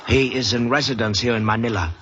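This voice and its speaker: A wry Chinese sailor-philosopher played by a man speaks with flowery courtesy at a middle pitch. It is an old radio drama, muffled and clearly noisy. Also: steady tone, fast talker, lightly acted. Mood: knowing, teasing. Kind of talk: 1940s radio drama acting.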